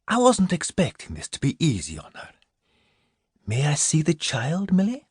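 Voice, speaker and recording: Man acting a soft, friendly priest, lively intonation. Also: fairly high pitch, breathy edge, no echo, clean studio sound, pitched above the performer's own voice, exaggerated voice. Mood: friendly, appeasing. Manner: friendly, measured. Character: soft-spoken, friendly male priest, calm and appeasing.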